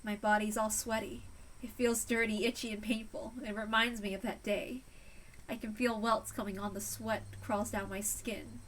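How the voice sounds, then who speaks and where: A woman voicing a tormented, self-loathing female villain in a hushed, anguished, deliberate read-aloud of a visual novel. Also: medium pitch, flat delivery, far from the mic, slight background noise, natural voice, rough recording.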